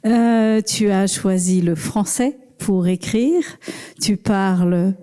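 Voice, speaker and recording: A woman's voice: medium pitch, slow pace, mellow. A woman hosting a book club, asking an author questions with hesitant, searching phrasing and many fillers.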